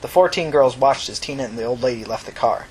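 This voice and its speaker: A man's voice: medium pitch, fast talker, darkish tone, slight background noise, poor audio. A man reading scripted fiction as a narrator.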